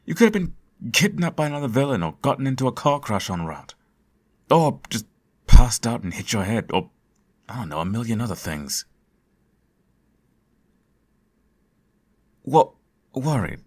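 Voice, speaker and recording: A man playing a sardonic, theatrical supervillain who fusses over his nemesis in an ASMR audio roleplay, his voice slightly husky. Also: medium pitch, big pitch swings, normal speed, darkish tone. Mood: indignant, flustered. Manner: theatrical, teasing, sarcastic.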